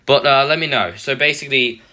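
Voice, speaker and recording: A man with a half-lost, hoarse voice, rambling through a casual mobile game tutorial with lots of self-corrections. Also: mid-range voice, fairly quick, sharp tone. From United Kingdom. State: partly lost voice, throat-clearing. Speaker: gaming channel host.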